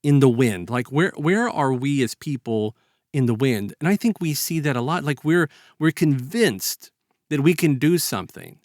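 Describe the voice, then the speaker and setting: Man in halting, conversational discussion, close dry sound. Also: medium pitch, no echo. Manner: halting, conversational, animated retelling with acted-out quotes. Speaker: podcast host. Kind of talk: casual reflective literary discussion.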